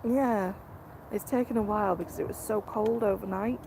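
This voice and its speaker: Woman, hoarse, gravelly, quiet casual chat, outdoors, noisy background. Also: mid-range voice, normal speed. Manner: speaks quietly, greets viewers. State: hoarse from a cold. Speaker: vlog host live-streaming fishing. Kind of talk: casual live-stream chat. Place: outdoors by a fishing lake. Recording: noisy outdoor live-stream audio.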